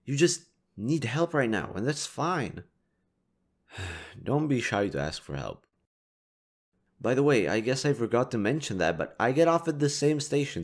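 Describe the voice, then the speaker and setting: A man playing a kind, reassuring young stranger in an intimate audio roleplay, speaking gently, considerately and a little hesitantly. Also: medium pitch. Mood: comforting. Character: kind, reassuring young male stranger helping a distressed girl.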